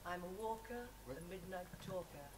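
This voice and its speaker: A woman's voice: on the low side, normal speed. A woman reciting her own poems to an audience at a live reading. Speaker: poet. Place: live festival reading, picked up from a distance. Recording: noisy live recording, distant mic.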